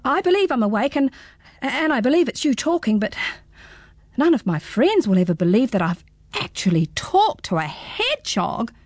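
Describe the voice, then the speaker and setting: A woman's voice: on the high side, very animated, moderate pace, no room sound. A woman voicing a polite, nervous nine-year-old boy in a storybook radio reading, with a startled, stammering manner.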